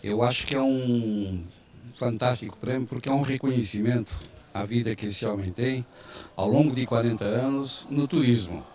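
A man's voice: medium pitch, moderate pace, darkish tone. A man giving a spoken tribute as an interviewee for television.

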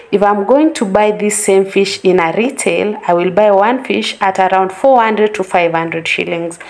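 A woman's voice: medium pitch, gravelly. Kenyan woman giving kitchen tips. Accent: Kenyan English. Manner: instructive, repeats advice for emphasis. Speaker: home cooking vlogger. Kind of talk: casual how-to narration. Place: home kitchen at the sink.

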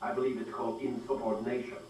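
A man's voice: medium pitch, little pitch movement, fast, darkish tone, very echoey, distant mic, slight background noise, close to an everyday voice, low-quality recording. An actor playing an impatient, callous male scientist in charge, curt and condescending, in a video game. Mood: irritated. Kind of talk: video game cutscene acting.

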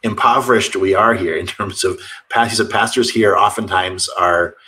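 Earnest low-voiced pastor rambling on a call. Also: male voice, steady tone, moderate pace, sharp tone, poor audio. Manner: earnest, rambling with many restarts. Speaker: pastor, guest. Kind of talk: video call conversation. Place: home office with bookshelves.

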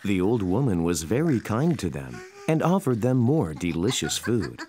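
A narrator telling a children's fairy tale in an expressive, scripted storytelling style. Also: deep voice, normal speed, faint music behind.

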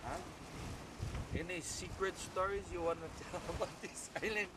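A man's voice: high voice, fairly even intonation, moderate pace. Guy asking questions on a boat, kinda far off mic, heavy wind. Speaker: interviewer. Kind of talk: casual conversation. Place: on a sailboat at sea. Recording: distant voice under loud wind noise.

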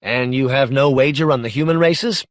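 A man's voice: medium pitch, moderate pace, no room sound, studio quality, close to an everyday voice. A man playing a courteous, curious space lord. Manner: formal, casually probing.

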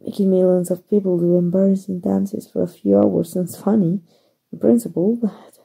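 A woman acting in an ASMR roleplay as a flustered, soft-hearted young female hero, stammering hesitantly in a soft, husky voice with a Mexican accent. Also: mid-range voice, fairly even intonation, normal speed, exaggerated voice, poor audio. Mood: hesitant, earnest. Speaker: voice actor.

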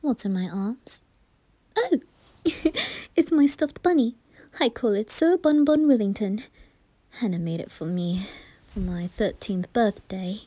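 Woman voicing a coy, soft-spoken boy noble. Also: medium pitch, animated, measured pace, long pauses, no room sound. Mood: playful, affectionate. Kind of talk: ASMR roleplay.

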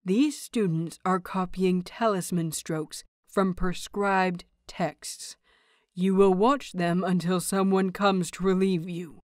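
A woman's voice: lowish voice, steady tone, takes it slowly, no room sound, studio quality. Woman voicing a stern, scolding male teacher. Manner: emphatic, scolding, clipped. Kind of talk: acted audiobook dialogue.

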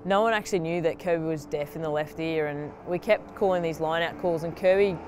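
A woman's voice: low voice, fairly quick, noisy background. A woman in her thirties telling a funny training anecdote in a documentary interview. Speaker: rugby teammate.

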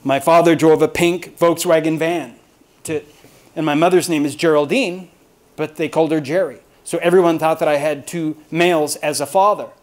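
A man's voice: on the high side, steady tone, moderate pace. An American man from Texas giving an informal, humorous lecture to colleagues, rambling and joking at his own expense. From Austin, Texas, United States. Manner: self-deprecating, rambling, jokey storytelling. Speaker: university lecturer giving a talk.

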